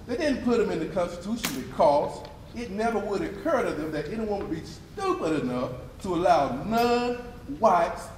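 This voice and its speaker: A man's voice: sits high, lively intonation, normal speed, slight background noise. A man playing a pompous, coldly dismissive 19th-century chief justice, declaiming emphatically in a readers theatre stage performance. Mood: smug, dismissive. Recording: echoey hall, distant mic, audience responses.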